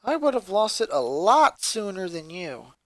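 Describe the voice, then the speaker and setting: A man's voice: sits high, sing-song, unhurried, dry recording, full character voice. A man voicing a tough but caring tomboy girl in a story reading, earnest and easily embarrassed. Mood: earnest, tender.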